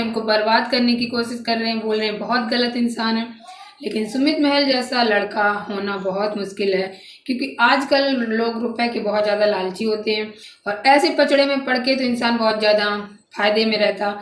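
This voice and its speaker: A woman giving informal gossip commentary to her viewers, speaking in a repetitive, emphatic and disapproving way. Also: a little high. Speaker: social media commentator. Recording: roomy echo, distant mic, background noise.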